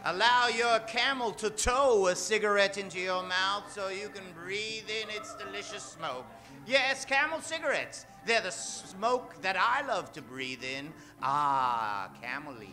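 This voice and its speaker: A man's voice: sits high, measured pace, sharp tone, soft background music. A man narrating and announcing in a theatrical old-time radio style, melodramatic and playful.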